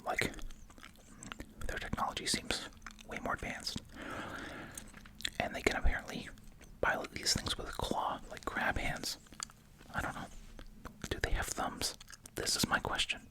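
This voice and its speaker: Hushed ASMR whisperer chewing gum. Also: a little slow, long pauses. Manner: casual, musing, repeats short phrases; chewing gum while talking. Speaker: ASMR video creator. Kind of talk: ASMR whispering, reading case summaries with casual asides.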